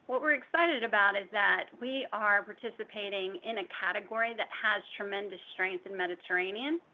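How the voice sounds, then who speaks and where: A woman finance executive giving measured, jargon-heavy business answers on an earnings call. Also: medium pitch, lo-fi sound. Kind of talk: prepared-sounding business Q&A answers.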